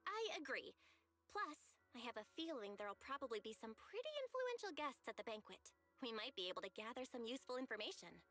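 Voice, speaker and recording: A woman's voice: on the high side, sing-song, fairly quick, a bit bright, faint music behind. An actress playing a perceptive, composed young woman in a video game, speaking in an inquisitive, conversational way. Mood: thoughtful. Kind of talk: scripted video game voice acting.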